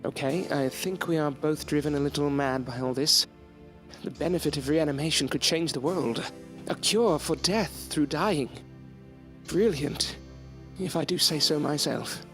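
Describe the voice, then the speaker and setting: An actor playing an absorbed, aloof, ambitious gentleman scientist in a gothic audio drama, with formal theatrical phrasing. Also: on the high side, measured pace, faint music behind. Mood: intrigued, grandiose.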